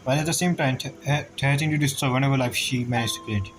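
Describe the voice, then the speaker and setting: A man giving casual, rambling gameplay commentary as he reacts to a story, speaking informally and haltingly. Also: medium pitch, rough recording. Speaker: gamer reacting to a story quest. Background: game music.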